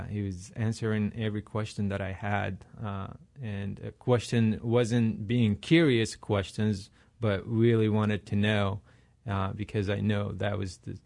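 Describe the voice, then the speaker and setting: A man from Iraq, a radio interview guest who answers haltingly with many fillers and restarts. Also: lowish voice, vocal fry, a little mellow. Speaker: guest, ministry leader.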